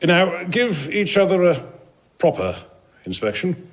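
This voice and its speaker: A man's voice: high voice, sing-song, unhurried. An actor playing an officious male civic official in a radio drama, with a pompous, formal, commanding manner.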